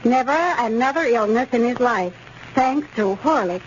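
A woman's voice: a little high, moderate pace, natural voice. An actress playing an earnest, grateful mother in a 1930s radio advertising testimonial. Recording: noisy muffled 1930s radio recording.

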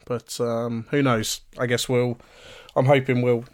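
A man co-hosting a podcast, relaxed and chatty and full of ums, his voice going a little hoarse. His middle-pitched voice is recorded close on a dry, dead mic. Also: unhurried, dry recording. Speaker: podcast co-host. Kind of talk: casual podcast chat.

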